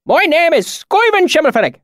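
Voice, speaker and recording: A man's voice: sits high, sing-song, normal speed, no room sound, pitched above the performer's own voice. A weathered mountain swineherd, put on by a man, talking in a thick, oily backwoods dialect. The voice sits well above the reader's own and sounds strained and set apart from him. Dry, close recording. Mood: friendly.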